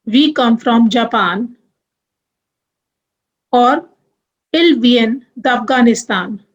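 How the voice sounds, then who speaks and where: A young woman teaching French grammar, moving deliberately through examples and spelling out letters one by one. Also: on the high side, highs cut off. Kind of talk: grammar lesson.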